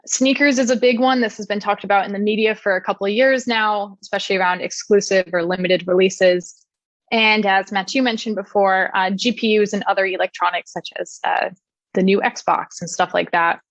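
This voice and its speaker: A woman's voice: mid-range voice, fairly even intonation, brisk, no echo. Woman presenting a talk, dry muffled sound. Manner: clear explanatory delivery with frequent "uh" and "um". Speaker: conference speaker. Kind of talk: technical conference presentation.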